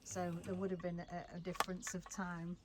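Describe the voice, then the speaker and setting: A woman's voice: lowish voice, completely flat delivery, moderate pace, far from the mic, heavy background noise. A woman chatting casually as a vlog host, often tagging questions onto what she says. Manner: chatty, seeking agreement with tag questions. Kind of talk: casual chat to camera.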